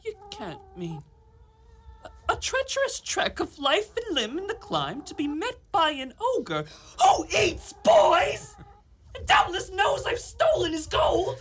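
A woman's voice: very high-pitched, huge pitch swings, takes it slowly, fairly bright tone, heavily acted. A woman playing a grandiose, cowardly young man in a radio play, with florid, theatrical speech. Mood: panicked. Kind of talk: live radio play acting. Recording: live studio recording, noisy ambience.

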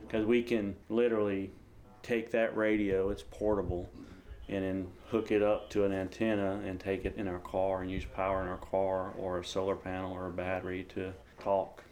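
Low-voiced man. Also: flat delivery, moderate pace, darkish tone. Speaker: amateur radio operator being interviewed. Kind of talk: casual explanatory interview.

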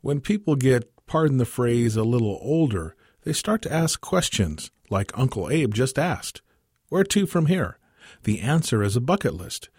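Male reader as an opinionated grown daughter in a radio story, pitched up, husky, emphatic. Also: big pitch swings, moderate pace, a little mellow, dry recording, clean studio sound. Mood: eager explaining. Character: farmer's grown daughter, opinionated, eager explainer.

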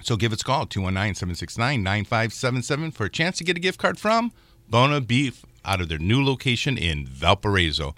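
A chatty chef in his fifties hosting a radio food show, his voice bright and forward with a fairly low pitch. He jokes around and keeps plugging the call-in number. The studio sound is dry and close. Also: big pitch swings, brisk, dry recording. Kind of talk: casual radio chat. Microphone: studio microphone.

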